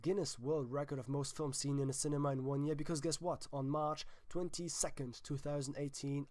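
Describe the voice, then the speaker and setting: A man's voice: fairly high pitch, dry recording. German-accented man chatting about films. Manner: chatty, emphatic, with restarts. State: sick. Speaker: film vlogger, host. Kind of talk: casual vlog chat.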